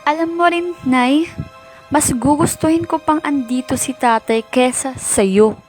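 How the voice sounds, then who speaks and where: A woman's voice: high voice, a little slow. A woman acting a rebellious, resentful teenage daughter in an amateur Filipino radio drama. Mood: resentful, argumentative. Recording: music bed behind dialogue.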